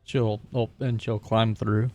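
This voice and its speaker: A man's voice: on the low side, little pitch movement, takes it slowly, darkish tone, dry recording. A man playing a tabletop roleplaying game, casually narrating a character's actions while thinking aloud in a hesitant way.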